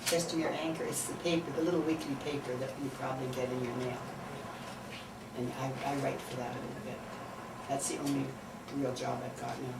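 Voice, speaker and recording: A woman's voice: very deep voice, level tone, fairly quick, gravelly. An older woman, answering interview questions in a chatty way and often asking questions back. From Canada. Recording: distant mic in a noisy, echoey room.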